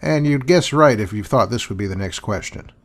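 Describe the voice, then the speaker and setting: A man's voice: medium pitch, lively intonation, a little fast, noisy background. A man hosting and answering questions in a dry, sarcastic, dismissive manner. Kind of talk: sarcastic commentary.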